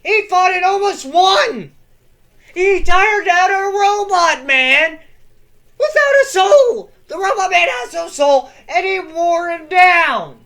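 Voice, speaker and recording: Excitable, slow-talking young man yelling lore. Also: very high voice. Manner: excitable, swears freely, bursts into yelling. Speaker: lore video host. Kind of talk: casual lore narration from a script.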